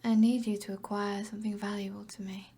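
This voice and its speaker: A woman's voice: mid-range voice, flat delivery, fairly quick, mellow. A British woman playing an alluring, commanding evil sorceress in an ASMR roleplay, half-whispering in a teasing, possessive way. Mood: seductive, confiding. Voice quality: breathy, whispery.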